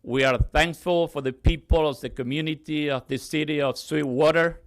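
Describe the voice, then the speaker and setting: A pastor, a man whose first language is Spanish, giving a slow, solemn public invocation prayer. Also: a little high, steady tone, no echo. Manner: slow, measured, solemn delivery.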